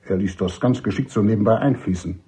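A man playing an older army major and doctor in a radio drama, husky, emphatic and cynical, courteous but dismissive. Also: fairly low pitch, brisk, dark tone. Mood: exasperated. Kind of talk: German radio drama acting.

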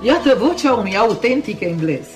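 A woman's voice: medium pitch, big pitch swings, music in the background, lightly acted. An actress playing a teasing, ironic society lady with an arch, theatrical manner in a Romanian radio play. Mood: mocking.

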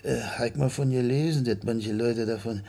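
An actor playing a chatty, stubborn older retired baker from a radio play, with a Berlin accent, a rough voice and a rambling, dramatic way of telling things. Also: male voice, mid-range voice, normal speed, dark tone. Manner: colloquial, rambling, dramatic storyteller. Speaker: patient. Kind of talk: German radio play acting.

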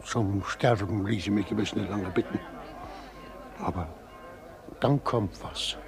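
Ein Schauspieler spielt in einem Hörspiel einen frommen, strengen alten Weber und Familienvater, ernst und mahnend, mit schlesischem Akzent. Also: male voice, lowish voice, unhurried, music in the background. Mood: grave, earnest. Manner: earnest, admonishing. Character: pious, stern old weaver and family father. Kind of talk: German radio play acting.

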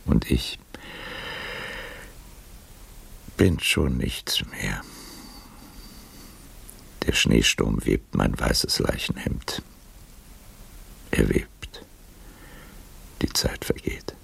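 Radio drama casting: resigned old charmer, rock-bottom bass, very husky, solemn and lyrical. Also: male voice, lively intonation, fairly bright tone, whispered, heavily acted. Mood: weary, resigned. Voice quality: gravelly, husky. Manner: slow, solemn, lyrical delivery. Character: weary aging male seducer, melancholy and resigned. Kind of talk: poetic radio drama acting.

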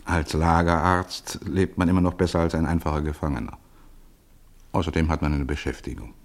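An actor playing a worldly professor who quietly arranges help for a colleague in a radio drama. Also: male voice, a bass voice, fairly even intonation. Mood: urbane. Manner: urbane, knowing, measured. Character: worldly old professor, polished and knowing. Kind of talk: radio play acting.

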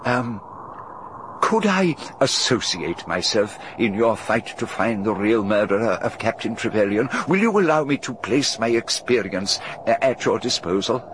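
An actor playing a genial older gentleman with a fussy, chuckling manner in a radio drama. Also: on the low side, very animated, moderate pace, noisy background. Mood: chatty. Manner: chuckling, fussy, hesitant repeats. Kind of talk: 1930s-style BBC radio drama.

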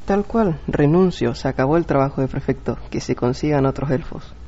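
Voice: mid-range voice, brisk, dark tone, lo-fi sound, natural voice. A performer voicing a lazy male prefect who keeps grumbling about work, in a sulky, whiny way. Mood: defiant.

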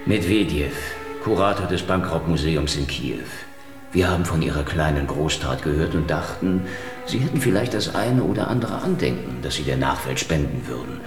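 A man's voice: rumbling low, lively intonation, normal speed. A man playing a cynical, wisecracking Russian detective in a radio drama, sarcastic and needling. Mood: mock friendly. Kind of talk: hard-boiled radio drama dialogue. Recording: slightly distant, music behind.

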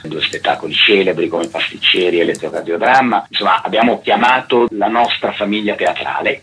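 A man with a husky voice explaining and promoting a project as a guest in a radio interview. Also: sits low, a bit bright, poor audio. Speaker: theatre producer, interview guest.

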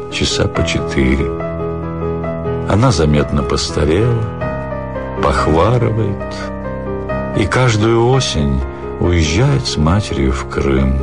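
A man reading a literary story theatrically, with expressive, measured phrasing and dramatic pauses. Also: extremely low pitch. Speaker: actor reading a short story. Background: music.

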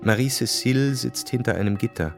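A man's voice: mid-range voice, a little fast, vocal fry. A man narrating a radio drama in a scripted, literary style. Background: music.

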